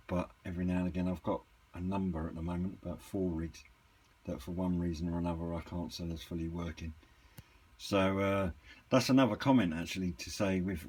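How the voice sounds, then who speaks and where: A man giving a casual, unscripted product walkthrough in a hesitant, rambling, matter-of-fact way, with a slightly husky voice. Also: low-pitched, a little mellow. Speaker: hobbyist reviewer and seller of used CB radios.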